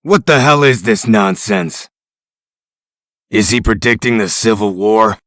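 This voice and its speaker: A man playing a gruff, irritable, cynical tough guy in a comic dub, with sardonic grumbling. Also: on the low side, measured pace, fairly bright tone, no echo, studio quality. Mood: irritated, sardonic. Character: gruff, cynical, irritable male detective type.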